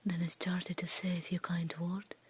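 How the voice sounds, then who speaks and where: A woman reading a fairy tale as ASMR in a soft, breathy, soothing whisper. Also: fairly low pitch, level tone. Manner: slow, hushed, soothing delivery. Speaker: ASMR storyteller.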